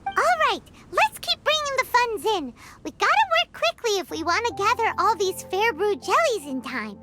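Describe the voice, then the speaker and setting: A woman voicing a small, chirpy, eager fairy-like girl companion with a squeaky childlike voice in a video game. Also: sing-song, normal speed. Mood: businesslike, eager. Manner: peppy, refers to self in third person. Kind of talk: video game cutscene acting. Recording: music behind the voice.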